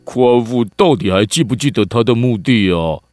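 A man with a Taiwanese accent playing an excitable, skeptical young boy in a children's radio drama, questioning everything with exaggerated hesitation. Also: medium pitch, normal speed, lightly acted. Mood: puzzled, skeptical.

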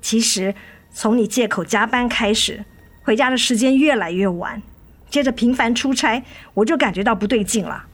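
Betrayed wife and mother for radio drama played by a woman: fairly high voice, tired but unbending, reproachful. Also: normal speed, lightly acted. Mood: controlled, accusing. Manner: firm, reproachful. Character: weary, resolute wife and mother confronting her cheating husband. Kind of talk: Mandarin radio drama acting. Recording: studio radio drama with music underneath.

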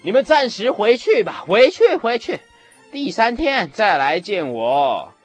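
A man playing a haughty, dismissive young king in a Bible radio drama, pompous and drawn-out. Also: high voice, very animated, soft background music, heavily acted. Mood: impatient.

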